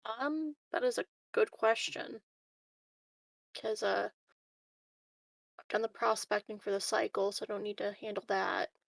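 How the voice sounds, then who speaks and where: Woman, medium pitch, vocal fry, tentative, casual tabletop game chat, dry sound. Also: steady tone, unhurried, pauses often, no room sound. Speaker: tabletop roleplaying player.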